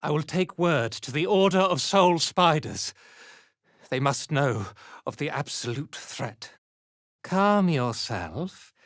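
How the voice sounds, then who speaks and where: A man playing a haughty, zealous dark elf cult commander in a video game, with an imperious, contemptuous and theatrical delivery. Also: fairly high pitch, lively intonation, takes it slowly, dry recording, studio quality. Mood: haughty, devout. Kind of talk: scripted video game character acting.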